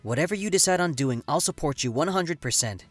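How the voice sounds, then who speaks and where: A man's voice: on the high side, expressive, brisk, fairly bright tone, dry recording, soft background music. An actor playing an easygoing, supportive young male god who talks in a reassuring, laid-back way.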